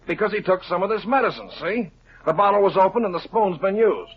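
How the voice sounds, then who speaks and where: Brisk police detective, muffled old radio. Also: male voice, high-pitched, expressive. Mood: matter-of-fact. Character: brisk, matter-of-fact male police detective. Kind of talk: 1950s radio drama acting. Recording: muffled vintage 1950s radio recording.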